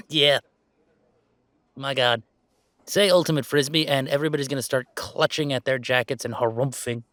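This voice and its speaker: A man plays a young male werewolf gamer with an everyday, mid-pitched voice and an unhurried delivery. He talks casually and wryly, pausing as he goes, like one side of an intimate roleplay chat. The sound is close and very dry. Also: fairly bright tone, no echo, lightly acted. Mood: animated, mocking. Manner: casual, halting, wry, conversational. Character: laid-back young male werewolf gamer, wry and chatty. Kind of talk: intimate roleplay audio, naturalistic one-sided conversation.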